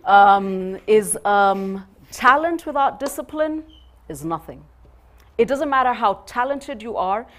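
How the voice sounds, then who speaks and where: Emphatic woman giving punchy career advice. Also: mid-range voice. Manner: emphatic, punchy, animated gestures. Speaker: guest speaker. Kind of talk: motivational panel talk. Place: studio set.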